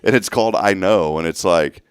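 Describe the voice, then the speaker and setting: A man hosting a morning radio show in casual chat, sounding groggy and rough, rambling and restarting his sentences. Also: low-pitched, normal speed, dry recording, studio quality. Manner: rambling, self-interrupting, chuckling.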